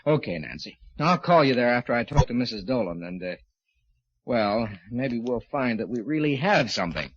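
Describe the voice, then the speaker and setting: An actor playing a weary, kindly police detective, plain-spoken and informal, in 1940s radio drama style. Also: male voice, medium pitch, expressive, moderate pace, slight background noise, lightly acted. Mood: thoughtful. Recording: muffled old 1940s radio recording.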